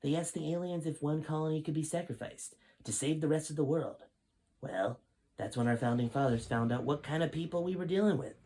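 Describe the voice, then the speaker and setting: A man's voice: fairly high pitch, mellow, voice pushed up, lightly acted, low-quality recording. A man reading the part of a stern, grave male five-star general who lectures in a serious tone.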